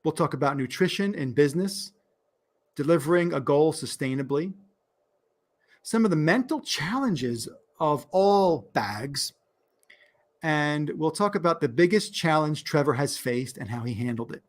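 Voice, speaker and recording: Man, New York accent, podcast host, upbeat, fairly high voice. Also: expressive, a little slow. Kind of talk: podcast interview.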